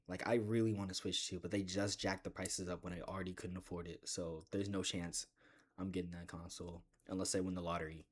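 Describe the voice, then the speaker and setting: A man with a deep voice chatting casually in a gaming vlog, rambling and joking with bursts of excitement. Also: husky. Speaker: gaming video creator.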